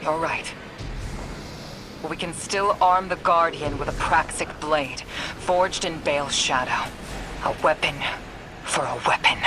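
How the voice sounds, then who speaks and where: A composed woman mentor of a warrior order in a video game. She speaks with grave, measured authority. Loud music plays underneath. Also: sits low. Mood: resolute. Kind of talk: dramatic video game cutscene acting.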